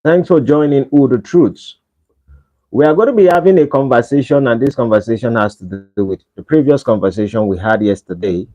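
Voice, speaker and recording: A man's voice: mid-range voice, brisk, dark tone. A man from Nigeria with a Nigerian accent, hosting a casual livestream and pleading with viewers for likes. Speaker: livestream host. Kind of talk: casual live-stream chat. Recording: dull lo-fi livestream audio.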